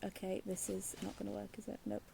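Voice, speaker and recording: A woman's voice: medium pitch, completely flat delivery, quick pace, gravelly, mellow. A woman giving casual, wry commentary as she plays through a video game, with muttered asides. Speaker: game streamer. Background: faint game music.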